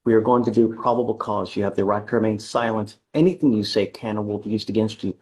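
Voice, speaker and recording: A man's voice: lowish voice, fast talker, a little mellow, breathy edge. A man with an American accent speaking as a judge from the bench, blunt and emphatic in a courtroom.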